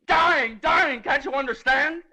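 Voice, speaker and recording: A man's voice: sits high, a little fast, sharp tone. An actor playing a desperate young working father with an American accent, pleading and insistent in old Hollywood melodrama style. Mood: anguished, angry.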